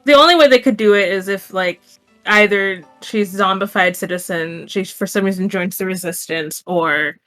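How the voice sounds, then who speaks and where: A woman with a very bright, forward voice hosts a casual group chat. Her pitch sits mid-range, and music plays behind her. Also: moderate pace. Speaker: podcast host.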